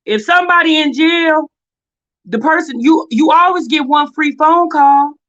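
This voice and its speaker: A woman's voice: high voice, a little slow, fairly bright tone, highs cut off. An American woman giving casual, opinionated commentary as a reaction video host, blunt and sassy, often exasperated and firing off rhetorical questions.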